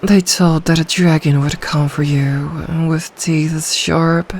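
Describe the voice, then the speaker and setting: A woman playing an ancient, possessive sea dragon in human form for an ASMR roleplay, speaking in a regal, seductive, deliberate manner. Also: low-pitched, fairly even intonation, darkish tone, slight background noise. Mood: regal, teasing.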